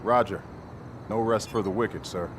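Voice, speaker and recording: An actor playing a seasoned, weary soldier in a video game, speaking in a wry, matter-of-fact way. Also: male voice, fairly low pitch, moderate pace, lightly acted. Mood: wry, guarded. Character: seasoned, weary male soldier telling grim past missions. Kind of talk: video game cutscene acting. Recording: loud vehicle and outdoor noise behind.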